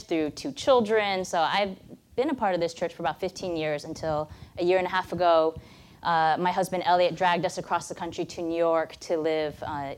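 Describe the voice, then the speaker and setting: Earnest American woman in her thirties. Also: on the low side. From United States. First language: Mandarin. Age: 30s. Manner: earnest, conversational storytelling. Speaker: guest speaker at church. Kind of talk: personal testimony talk. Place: church.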